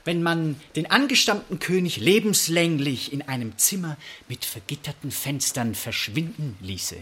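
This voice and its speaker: A man's voice: on the high side, lively intonation, moderate pace, pushed and bright. An actor playing a proud deposed king in a radio drama, theatrical and sarcastic, drawing out his words with mock playfulness. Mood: bitter sarcasm. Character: deposed Bavarian king, proud, huge, theatrically bitter and suspicious.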